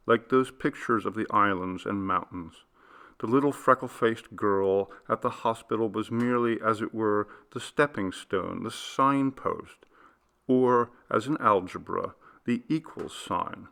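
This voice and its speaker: A male audiobook reader narrates literary fiction in deliberate, measured phrases. His voice sits on the low side, with plenty of fry and a bright, forceful edge.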